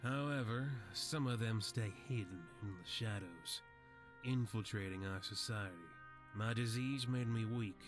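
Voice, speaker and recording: A man playing a frail, sickly old veteran in a video game, weary, resigned and gentle. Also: lowish voice, unhurried, close to an everyday voice. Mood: weary, matter-of-fact. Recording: game music behind the voice.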